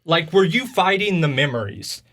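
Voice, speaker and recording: A man's voice: on the high side, sing-song, moderate pace, a bit bright. A man talking casually and with strong opinions as a podcast host discussing video games, telling stories informally.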